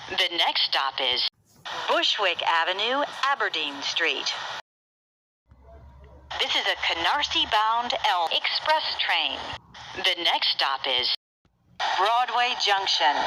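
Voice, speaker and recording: A woman's voice: medium pitch, big pitch swings, slow pace, long pauses. A woman reading scripted, formally worded train announcements, enunciating each stop. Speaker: transit announcer. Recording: noisy train announcement playback with radio-like hiss.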